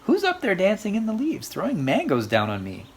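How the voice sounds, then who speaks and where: A storyteller voicing a young male bunny in a children's storybook, earnest, sensitive and friendly, with expressive swoops. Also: medium pitch, brisk, pitched above the performer's own voice. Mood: indignant. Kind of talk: children's storybook read aloud. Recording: faint background noise.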